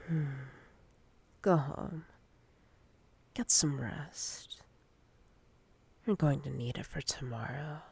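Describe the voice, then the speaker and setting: A woman playing a dominant, possessive female boss in roleplay ASMR, commanding and teasing in a breathy, often whispered voice. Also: sits low, a little mellow, full character voice. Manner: slow, deliberate, commanding.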